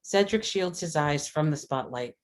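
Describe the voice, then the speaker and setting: A woman's voice: low-pitched, expressive, normal speed. A woman reading scripted stage directions aloud at a script table read. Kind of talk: scripted narration of screenplay action.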